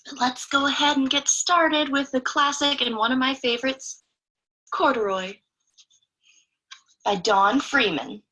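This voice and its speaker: Bright, high-voiced woman reading a storybook. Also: steady tone, unhurried, pauses often, poor audio. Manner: chatty asides to young listeners. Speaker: children's story reader. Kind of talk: expressive read-aloud of a picture book.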